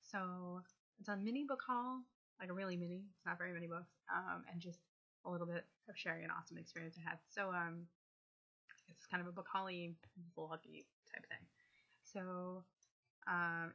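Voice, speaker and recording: Low gravelly woman vlogging with a cold. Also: pushed and bright, highs cut off. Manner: rambling, lots of ums and likes. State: losing her voice from a cold. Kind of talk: casual chatty vlog.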